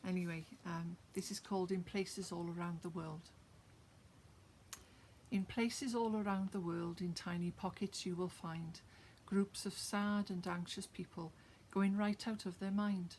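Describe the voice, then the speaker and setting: A Welsh woman with a lowish voice talks straight to her viewers, chatty and full of little "um"s and restarts. Then she settles into reading a rhymed poem of her own. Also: fairly even intonation, a little slow, a little mellow, slight background noise. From Wales. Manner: chatty and direct with viewers, many fillers and restarts. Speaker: poet sharing her own work. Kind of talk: casual chat, then a rhymed poem recitation.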